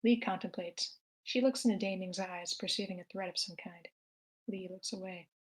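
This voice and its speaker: A woman's voice: mid-range voice, moderate pace, husky. A woman narrator reading screenplay stage directions aloud.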